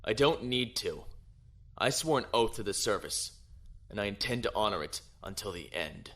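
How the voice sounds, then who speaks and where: A man's voice: lowish voice, animated, normal speed, pushed and bright. A man playing a principled young noble naval lieutenant in an audio drama, earnest, formal and resolute. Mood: indignant, resolute.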